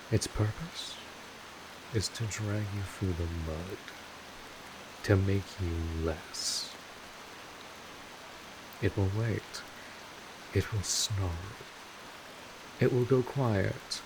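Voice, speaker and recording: A man playing a world-weary, gruff but kind vampire mentor in an audio roleplay, with a measured, wry delivery. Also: sits low. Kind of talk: scripted audio roleplay monologue. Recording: steady hiss, rain-like noise.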